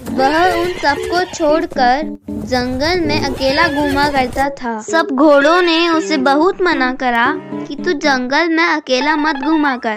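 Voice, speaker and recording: Slow, high-voiced woman telling a moral tale. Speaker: storyteller. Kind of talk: scripted moral tale narration. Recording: music behind the voice.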